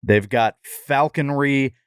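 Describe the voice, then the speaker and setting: A man's voice: mid-range voice, takes it slowly, smooth, no echo, studio quality. A man co-hosting a comedy podcast in casual, conversational talk. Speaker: podcast co-host.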